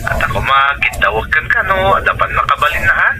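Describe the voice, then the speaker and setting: A man's voice: fairly high pitch, animated, fairly quick, noisy background. A man playing a needy older brother asking his sister for money, with a Filipino Ilocano accent, in audio drama dialogue. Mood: coaxing.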